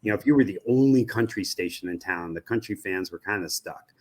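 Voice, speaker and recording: An older American man answering interview questions as an expert, explaining analytically in halting, pieced-together phrases and repeating himself. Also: sits low, a little mellow. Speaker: guest, media research executive.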